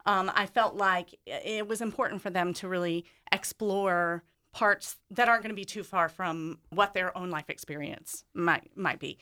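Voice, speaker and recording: A woman's voice: fairly low pitch, bright, forceful tone, clear, resonant tone. A woman speaking enthusiastically and emphatically as a guest director in a casual interview.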